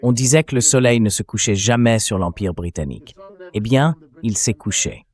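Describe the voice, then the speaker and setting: A synthetic male voice with a fairly low pitch delivers political commentary. It explains points in a conversational way, as a voice-over for a dubbed interview. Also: normal speed, a little mellow.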